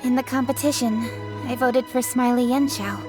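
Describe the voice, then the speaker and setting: A woman's voice: fairly high pitch, steady tone, normal speed, over music, lightly acted. A woman voicing a dutiful, earnest young noblewoman official in a video game, with stiff, precise, formal diction. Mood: troubled.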